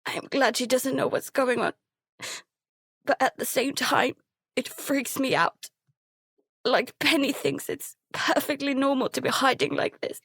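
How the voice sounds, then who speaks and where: Breathy, frightened youngster, creaky voice. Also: female voice, high-pitched, a bit bright, no room sound, heavily acted. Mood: haunted, subdued. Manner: halting, stammering, breaking into whispers. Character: frightened, guilt-ridden youngster narrating a lockdown at sea. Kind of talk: first-person audio drama narration with dialogue.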